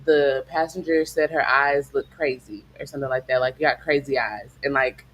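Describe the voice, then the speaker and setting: A man hosting a casual podcast, relaxed and quick to laugh, hedging his opinions. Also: medium pitch, low-quality recording. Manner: relaxed, laughs easily, hedges. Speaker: podcast host. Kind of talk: casual chat.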